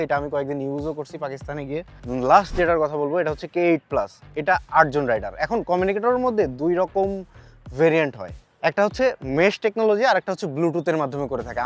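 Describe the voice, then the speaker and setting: A man with a Bangladeshi accent presenting a product overview straight to viewers, mixing in English words as he promotes the gear. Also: on the high side. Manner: addresses viewers directly, mixes in English words.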